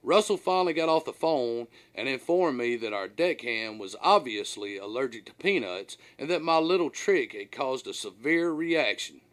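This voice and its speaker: A man reading his own first-person story aloud as a scripted audiobook, in an animated, dramatic storyteller's manner. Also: mid-range voice, normal speed, few pauses.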